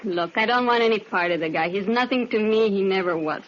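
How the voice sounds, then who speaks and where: A woman's voice: mid-range voice, a little fast, natural voice. Woman acting curt defensive dame getting questioned, old radio crime drama, dull lo-fi sound. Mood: guarded, defensive. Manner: curt, evasive. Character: guarded, defensive woman, a crook's former girlfriend. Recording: muffled old radio recording.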